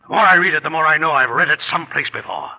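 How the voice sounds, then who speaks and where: An actor playing a haughty, easily riled literary critic in a pompous, sneering manner in a 1940s radio drama. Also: male voice, on the high side, racing, sharp tone, heavily acted, poor audio. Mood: indignant. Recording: old 1940s radio broadcast recording.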